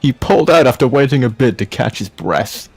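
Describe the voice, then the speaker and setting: A man's voice: mid-range voice, expressive, brisk, darkish tone, husky, soft background music. A man hosting casual comedy banter, reacting freely with swearing and mock announcements. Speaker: host of a comedy fan fiction video.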